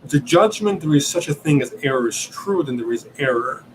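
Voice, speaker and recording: A man from Italy whose first language is Italian, hosting a livestream Q&A and reasoning aloud, often pausing and searching for words. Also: a little high, expressive, a little hiss or hum, rough recording. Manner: hesitant, searching for words, many ums and restarts. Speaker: podcast host answering questions.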